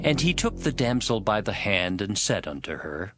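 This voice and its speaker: A man's voice: on the low side, big pitch swings, moderate pace. A man narrating scripture as a dramatized story, in a dramatic storytelling manner. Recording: loud music behind the voice. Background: music.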